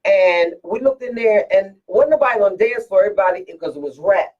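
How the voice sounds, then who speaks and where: A woman with a deep, strong voice, a singer from Philadelphia, telling stories in a casual radio interview with lots of restarts and repeated words. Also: animated, fairly quick, distant mic, muffled recording. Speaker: guest singer.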